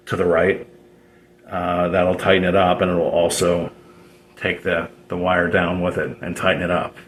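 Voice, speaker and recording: A man giving a casual, unscripted DIY how-to in a halting, rambling way, repeating himself as he explains. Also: low voice, a bit bright. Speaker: DIY radio hobbyist presenter. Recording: roomy echo, noticeable background noise.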